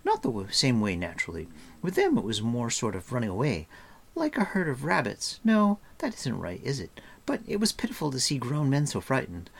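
A man's voice: mid-range voice, big pitch swings, moderate pace, pitched above the performer's own voice. A man voicing a chattering, scolding, breezily confident young girl in a storybook, talking in a breathless rattle.

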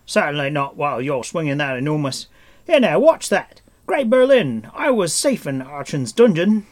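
A man's voice: mid-range voice, expressive, unhurried, a bit bright, voice pushed up. A man performs a wandering bard from a fantasy storybook. He is nervous and courtly, given to flowery protest and grand exclamation. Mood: alarmed, protesting. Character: frightened, flowery, bowing male wandering bard.

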